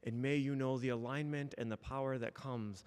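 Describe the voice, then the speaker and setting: A man speaks as a church minister to his congregation, with a mid-range voice. His phrasing is measured and ceremonial, in the style of a spoken blessing. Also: mid-range voice, fairly even intonation, a little mellow, smooth.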